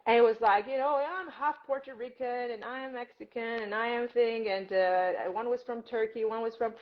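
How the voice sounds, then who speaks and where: A woman's voice: a little high, normal speed, highs cut off. A woman from Armenia, a native Armenian speaker who grew up in several countries, chatting expressively as an English teacher and guest, acting out bits of dialogue. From born in Armenia, moved abroad at six and grew up in several countries. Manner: expressive, acts out reported dialogue. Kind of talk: animated casual conversation with personal anecdotes.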